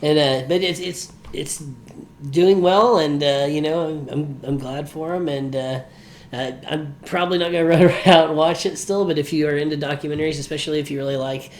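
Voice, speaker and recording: A man's voice: fairly high pitch, steady tone, brisk. A man co-hosting a podcast, giving his opinions in a casual, conversational way. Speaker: podcast co-host. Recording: faint background noise.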